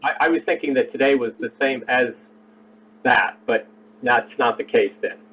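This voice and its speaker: A man's voice: mid-range voice, moderate pace, slightly rough. A man calling in to a conference call, asking polite, hesitant questions. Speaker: caller. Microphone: phone on a conference call. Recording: muffled distant phone-line audio.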